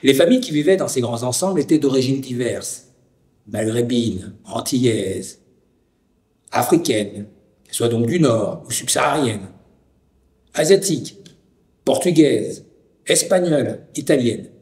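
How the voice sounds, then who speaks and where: A man from the Lyon area of France narrating a scripted personal documentary with deliberate, poetic phrasing. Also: medium pitch, expressive, darkish tone.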